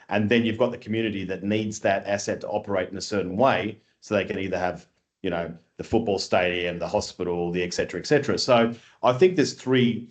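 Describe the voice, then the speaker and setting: A lower-voiced man with an Australian accent talks through an interview, animated and a little rambling. He is full of fillers and restarts, and quick to circle back on his point. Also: dull audio. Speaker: guest, industry scheme chief executive. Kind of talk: casual conversational interview.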